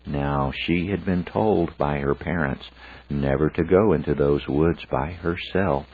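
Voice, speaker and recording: Very deep-voiced man telling a fairy tale. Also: measured pace, breathy edge. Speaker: storyteller. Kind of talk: scripted fairy tale narration. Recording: phone-like, narrow band sound.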